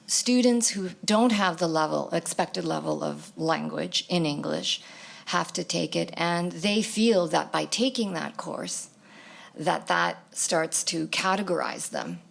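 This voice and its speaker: A woman's voice: on the low side, vocal fry. A woman whose first language is Greek, answering a question on a symposium panel in a thoughtful way, searching for words and often ending on "right?". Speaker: academic panelist. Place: university lecture hall.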